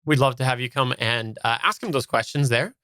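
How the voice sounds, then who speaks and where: A man's voice: mid-range voice, a little fast, pushed and bright, clear, resonant tone, no room sound, clean studio sound. A man hosting a casual live podcast chat, putting questions and addressing the listeners informally. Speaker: podcast host asking questions.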